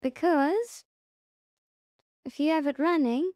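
A woman narrating a casual software tutorial, instructing step by step and repeating her reminders. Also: high voice, slow, no echo, clean studio sound. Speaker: tutorial presenter.

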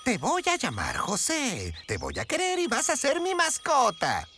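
A woman's voice: high-pitched, huge pitch swings, measured pace, full character voice. A woman voicing a cheerful, goofy, friendly male skunk in a dubbed cartoon, good-natured and exclaiming. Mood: affectionate. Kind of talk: cartoon dub acting. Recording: loud music under the voice.

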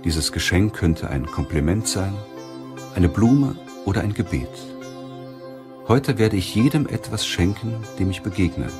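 A man narrating a self-help audiobook in a measured, deliberate way. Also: low voice.

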